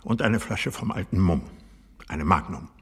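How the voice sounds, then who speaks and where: A proud, brooding old aristocrat and general in a radio play. His voice is deeply husky and gravelly, and he speaks in slow, deliberate, terse phrases. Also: animated, a bit bright, full character voice. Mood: curt, commanding. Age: older. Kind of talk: German radio drama acting.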